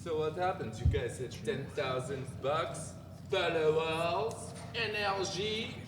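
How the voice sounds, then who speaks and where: A man reading the part of a curious listener who asks slow, probing questions. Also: a little high. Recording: distant, roomy hall with noise.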